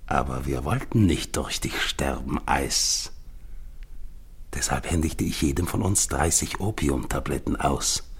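An actor playing a grim, haunted leader of a doomed polar expedition, speaking in a solemn, incantatory radio play monologue with a gravelly, husky voice. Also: male voice, very deep voice, unhurried, exaggerated voice. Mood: grim recounting. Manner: solemn, incantatory addresses to ice and storm.

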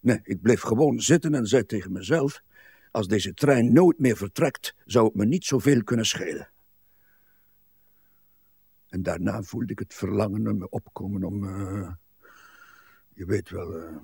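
Grumpy weary old husband in a radio play, super husky breathy voice, dry close sound. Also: male voice, lowish voice, warm tone, no room sound, exaggerated voice. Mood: agitated, indifferent. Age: older. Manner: dour, deliberate storytelling with hesitations. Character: grumbling, self-absorbed, weary old husband. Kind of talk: literary radio drama acting.

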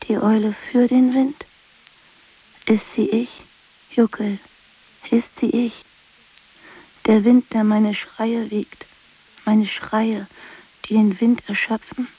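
An actress playing a haunted madwoman who laments to herself, husky and often whispering, in slow repetitions of a poetic radio play. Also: on the high side, dark tone, poor audio. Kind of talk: poetic radio drama monologue.

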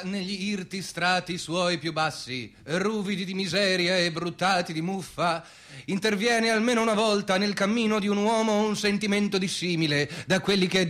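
An earnest, pedantic fellow in a radio comedy. His voice sits fairly high and he chooses formal words, moving between hesitancy and declamation. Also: a bit bright. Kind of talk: theatrical radio comedy dialogue.

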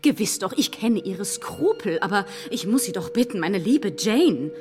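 A woman's voice: medium pitch, very animated, moderate pace, in a large hall, over music, close to an everyday voice. An actress in a German radio play voicing an overbearing married society lady who is effusive, condescending and insistent.

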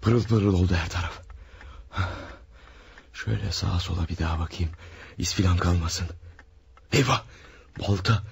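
Low-voiced murderer muttering to himself, old radio play hiss. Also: male voice, normal speed, creaky, long pauses. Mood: scheming. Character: cold-blooded man murdering his family. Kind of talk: radio drama acting.

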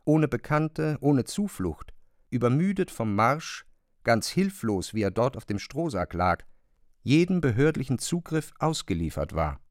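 A man reading literary narration for a radio drama, with measured, deliberate phrasing and pauses. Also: medium pitch, big pitch swings, no echo, clean studio sound.

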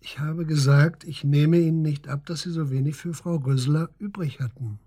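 Radio drama casting: male actor as probing detective, breathy rough edge, lightish pitch, persistent and businesslike. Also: fairly even intonation, normal speed, warm tone, lightly acted. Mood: probing, sceptical. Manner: matter-of-fact, probing, insistent. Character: persistent, matter-of-fact male police detective interrogating a suspect. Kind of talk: naturalistic German radio drama acting.

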